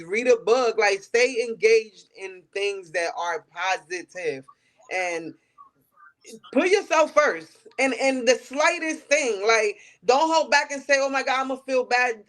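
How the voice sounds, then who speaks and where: A woman's voice: a little high, fairly bright tone. A woman in her late thirties with a New York accent, speaking candidly as an interview guest and repeating phrases for emphasis. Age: late 30s. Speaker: podcast guest.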